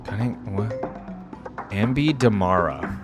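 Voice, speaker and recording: A man's voice: on the low side, expressive, slow. A man hosting a reaction video, thinking aloud in casual, informal commentary. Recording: music behind the voice.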